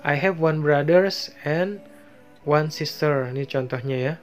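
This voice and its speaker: A man's voice: on the high side, soft background music. A man with an Indonesian accent teaching basic English phrases over slides, reading each phrase and translating it, with frequent fillers. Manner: slow, explains each phrase then translates it, with many fillers. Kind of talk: bilingual lesson over slides.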